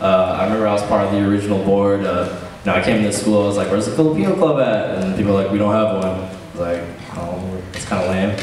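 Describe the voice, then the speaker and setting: A man's voice: lowish voice, quick pace, far from the mic, a little hiss or hum. Young man hosting, echoey hall. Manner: relaxed, chatty, many fillers. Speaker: emcee of a student cultural show. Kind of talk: casual stage hosting. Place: large event hall on a stage. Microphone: handheld mic heard through hall speakers.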